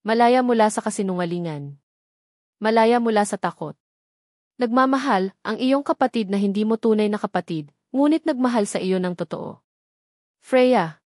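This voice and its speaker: A woman's voice: medium pitch, moderate pace, long pauses, darkish tone, no room sound, studio quality, close to an everyday voice. A woman voicing a loving, remorseful older sister's farewell letter in an audio story, earnest and confessional. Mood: tender farewell. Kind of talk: scripted audio story drama.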